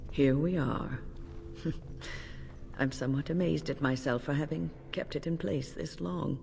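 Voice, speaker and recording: Low, creaky older female mage. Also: a little mellow. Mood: wry relief. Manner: measured, formal, grave. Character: weary, steadfast older female mage healer. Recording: long hall reverb, ambient noise.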